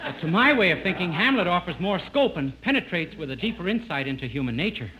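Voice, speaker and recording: High-voiced, naive young sidekick. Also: male voice, sing-song, quick pace. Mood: innocent. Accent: American. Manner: innocent, earnest. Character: silly, naive, earnest young male sidekick. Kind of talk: 1950s radio comedy acting. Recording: noisy old radio broadcast.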